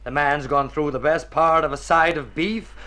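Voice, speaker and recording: An actor playing an exasperated, grumbling man of the household in a radio drama, brisk and emphatic. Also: on the high side, steady tone, pushed and bright. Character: exasperated, grumbling male household companion at the table. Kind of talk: Golden Age radio drama acting.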